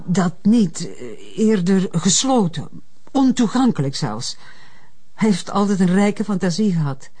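Mellow, reserved middle-aged mother. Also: mid-range voice, big pitch swings, slightly rough. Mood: guarded, composed. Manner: measured, composed, formal. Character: reserved, formal mother of a troubled adult son. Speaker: actor in a detective drama. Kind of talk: naturalistic crime drama dialogue.

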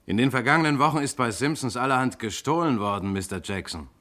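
An actor playing a composed police inspector in a German radio crime drama, questioning suspects with pointed insistence. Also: male voice, mid-range voice, expressive, fast, a bit bright, natural voice. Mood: probing, pointed.

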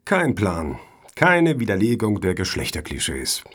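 Actor doing an officious board guy in radio satire, ironic, husky, really animated pitch. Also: a little slow, exaggerated voice. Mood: wry, dry. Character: wry, officious male panelist on a foundation board. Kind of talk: satirical radio play acting.

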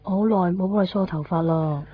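A woman's voice: on the low side, fast talker, husky. An actress playing a young woman torn in love in a radio drama, natural and emotional, with a Hong Kong accent. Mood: gentle. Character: young woman torn between lover and boyfriend. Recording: dull 1990s radio recording, faint music. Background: faint background music.

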